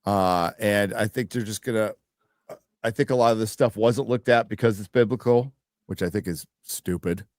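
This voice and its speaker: A man co-hosting a casual podcast, reading articles aloud slowly and joking with the others. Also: fairly low pitch, a little mellow, no room sound, studio quality. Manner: reads aloud slowly, jokes and trails off. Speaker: co-host.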